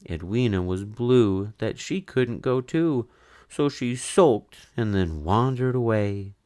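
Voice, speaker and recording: A man reading a children's story in verse aloud, with an expressive, measured, sing-song delivery. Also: low voice, no room sound, clean studio sound. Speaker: storybook reader.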